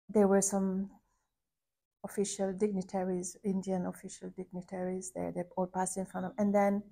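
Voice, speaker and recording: Woman, soft thoughtful storytelling, lots of restarts and pauses, mid-pitched. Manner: hesitant, searching for words, many restarts; soft and contemplative, rising to warm emphasis. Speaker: podcast host recounting a visit. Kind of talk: reflective personal storytelling.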